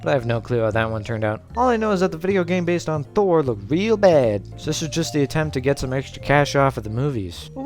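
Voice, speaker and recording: A man giving casual scripted commentary in a sarcastic, informal manner. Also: fairly high pitch. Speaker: host of a video essay series. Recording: background music under voice. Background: music.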